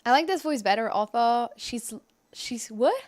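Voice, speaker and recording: A woman's voice: on the high side, animated, moderate pace, a little mellow. A woman game streamer chatting casually and reactively to her live viewers over gameplay.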